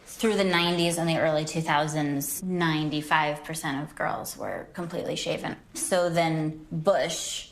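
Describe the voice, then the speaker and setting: Woman with a low-pitched voice. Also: a little slow, few pauses. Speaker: adult film performer being interviewed. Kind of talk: explanatory interview talk.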